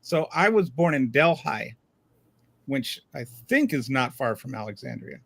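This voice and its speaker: A man from Louisiana hosting a casual panel chat, talking in a halting way with lots of restarts. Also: mid-range voice, sing-song, creaky, fairly bright tone, husky, no room sound. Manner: halting, full of fillers and restarts. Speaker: host.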